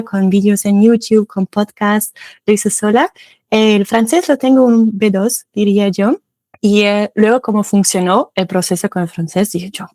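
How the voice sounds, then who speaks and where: A German woman with a German accent talks casually as an interview guest. She laughs often and corrects herself mid-sentence. Her voice sits at a middle pitch, recorded dry and close. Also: dark tone, no echo.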